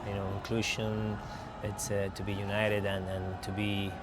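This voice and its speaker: A man talking in a casual interview, halting and repeating words as he searches for them. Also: fairly low pitch, completely flat delivery, background noise. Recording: loud music behind the voice.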